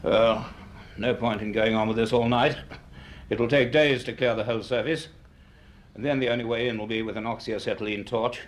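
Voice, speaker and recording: An actor playing a middle-aged, cautious British professor in a radio drama, speaking in a deliberate, formal, literary manner. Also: male voice, medium pitch, steady tone, natural voice. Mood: cautious, matter-of-fact. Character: measured, cautious male university professor. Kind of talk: radio drama acting and narration. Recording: noisy archive radio drama recording.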